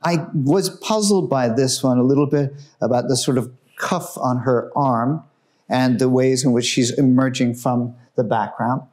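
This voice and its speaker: A man giving an informal, enthusiastic talk about artworks in a museum room, growing hushed and solemn at the tragic pieces. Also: medium pitch, unhurried. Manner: enthusiastic, conversational, drops his voice for solemn works. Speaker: museum guide presenting artworks. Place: museum gallery room, indoors.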